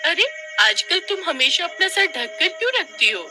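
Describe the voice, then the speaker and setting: Man as a nosy village woman, very high voice, taunting, swooping pitch, cartoon gossip. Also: sing-song, normal speed, over music. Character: mocking gossipy village women neighbours.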